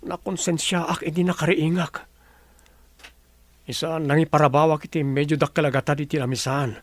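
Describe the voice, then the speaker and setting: A man's voice: fairly high pitch, little pitch movement, normal speed, lightly acted. A man playing a troubled, brusque boss in a radio drama. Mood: troubled, remorseful. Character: troubled, brusque male boss with a guilty conscience.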